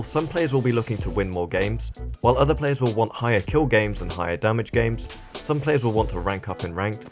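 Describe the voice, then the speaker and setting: A man with a croaky, hoarse voice, giving a brisk tutorial voice-over with self-mocking asides. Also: medium pitch. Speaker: gaming YouTuber.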